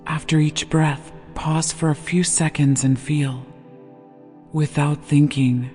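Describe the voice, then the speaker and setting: A gentle, hushed voice guiding a sleep meditation with slow, deliberate scripted narration. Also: medium pitch, steady tone. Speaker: meditation guide. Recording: long reverb over background music. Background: meditation music.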